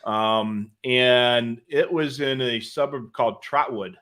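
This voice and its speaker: A man's voice: medium pitch, takes it slowly, fairly bright tone. A man co-hosting a casual live hobby stream, conversational and opinionated, explaining things with little asides. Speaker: co-host of a live hobby show about police scanners.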